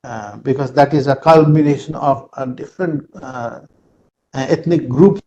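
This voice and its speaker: A man with an Indian English accent, a native Nepali speaker, giving an online lecture in a halting way with many fillers, over a video call. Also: mid-range voice, warm tone, dull audio, a little hiss or hum.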